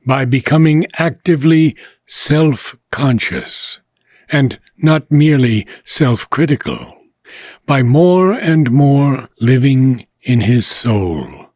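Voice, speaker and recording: A man reading philosophical prose aloud in a measured, deliberate way with frequent pauses. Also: mid-range voice, darkish tone, dry recording, studio quality. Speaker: reader of a philosophical book.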